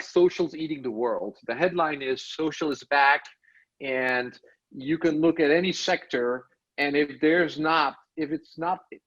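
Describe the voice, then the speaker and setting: Belgian-born tech guest, husky slightly high voice, dull lo-fi line, rambling reflective chat. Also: male voice. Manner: thinks aloud, frequent "right?" and restarts. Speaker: podcast guest, tech studio lead. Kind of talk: casual interview talk.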